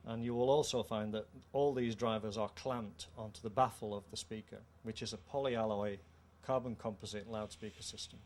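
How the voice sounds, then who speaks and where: A middle-aged man with a British accent gives a measured, formal technical explanation of a product. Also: fairly low pitch, fairly even intonation, darkish tone. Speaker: company co-founder presenting a product. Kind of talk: technical product presentation in an interview. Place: indoor trade show room. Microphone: handheld microphone. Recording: clear background noise, show-room ambience.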